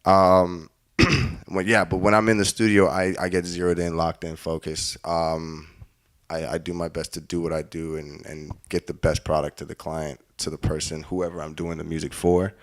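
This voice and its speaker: A man's voice: sits low, level tone, moderate pace. A man in his late twenties from the Caribbean, telling stories in casual chat, laughing often and acting out what others said. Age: 20s. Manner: storytelling, laughs often, acts out quoted speech. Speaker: guest music producer.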